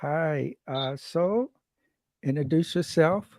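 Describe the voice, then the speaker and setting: A man's voice: on the high side, slow pace, a little mellow. A man interviewing a guest, asking short, hesitant questions. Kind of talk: casual interview.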